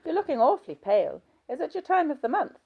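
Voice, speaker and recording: A woman voicing a chatty, gossipy nurse who talks briskly. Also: high-pitched, very animated, creaky, narrow, tinny audio, pitched above the performer's own voice, heavily acted. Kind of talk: audiobook character voice.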